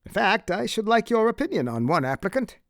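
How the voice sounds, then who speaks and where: Man acting an earnest leader, lilting, slightly raised pitch. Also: very animated, no room sound, studio quality. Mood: businesslike. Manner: formal, deliberate, courteous. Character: earnest, deliberate male colony leader chairing discussion. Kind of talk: audiobook character acting.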